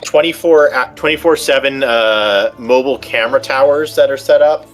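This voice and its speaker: A man's voice: mid-range voice, normal speed, soft background music. A man chatting casually over voice chat while gaming, rambling and restarting his sentences as he rants. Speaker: streamer playing a strategy game with friends.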